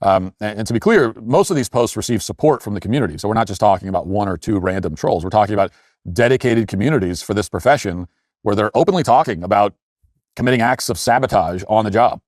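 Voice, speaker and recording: A man hosting an opinion commentary show, speaking in an emphatic, critical way with deliberate phrasing and asides. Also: fairly low pitch, lively intonation, darkish tone, no room sound, clean studio sound.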